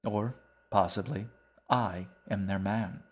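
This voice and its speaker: A man voicing a genial, wordy older gentleman in an audiobook, with formal, long-winded speech. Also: fairly low pitch, fairly even intonation, takes it slowly, breathy edge. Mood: expansive. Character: genial old bearded man, wordy and grand. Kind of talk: audiobook character voice.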